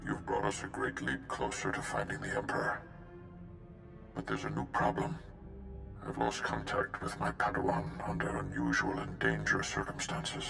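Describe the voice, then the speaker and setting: A grave, encouraging Jedi master from a video game. The voice sits extremely low and rumbles with heavy gravel. It moves expressively in a roomy echo. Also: male voice, sing-song, normal speed, exaggerated voice. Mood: warmly approving. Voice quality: very deep, gravelly. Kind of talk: scripted video game cutscene acting. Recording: roomy echo under loud music.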